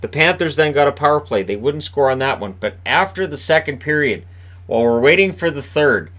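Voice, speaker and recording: A man hosting a casual solo hockey recap from a room in his home, with a booming voice, talking in a rambling, chatty way with lots of fillers and restarts. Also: lively intonation, brisk, fairly bright tone. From Canada. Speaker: hockey commentator and video host.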